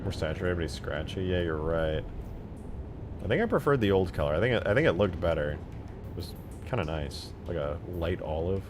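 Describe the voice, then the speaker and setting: A man's voice: low-pitched, animated, moderate pace, background noise. A man live streaming while he works, casually thinking aloud and muttering questions to himself. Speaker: game modding live streamer.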